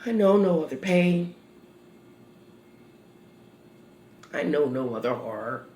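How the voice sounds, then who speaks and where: An actress playing a grieving, haunted mother in a halting, weighty dramatic stage monologue. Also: mid-range voice, lively intonation, fairly bright tone, reverberant, slight background noise. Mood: haunted, mournful. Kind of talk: stage monologue performed on video.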